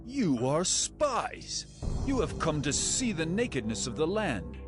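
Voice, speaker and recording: An actor playing a stern, accusing governor of Egypt in a dramatized scripture recording, commanding and deliberate. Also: male voice, on the high side, huge pitch swings, full character voice. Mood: accusing, harsh. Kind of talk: dramatized scripture audio acting. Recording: music behind the voice.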